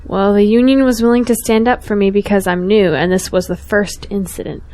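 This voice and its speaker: A woman's voice: medium pitch, fairly even intonation, a little fast, warm tone, lightly acted. A young woman playing a principled, outspoken schoolteacher in an audio drama, earnest and pointed. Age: young adult.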